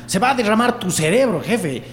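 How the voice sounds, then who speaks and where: A man's voice: sits high, animated, brisk. An actor playing a dim, eager Bolivian henchman in a radio drama, deferential, flustered and literal-minded toward his boss. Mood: flustered, defensive. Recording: echoey, reverberant space with faint noise.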